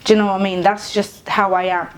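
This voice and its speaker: A woman's voice: medium pitch, level tone, fast. Woman in her late twenties, earnest. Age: late 20s. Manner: earnest, defends her view, talks over others. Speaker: podcast panelist. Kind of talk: casual debate.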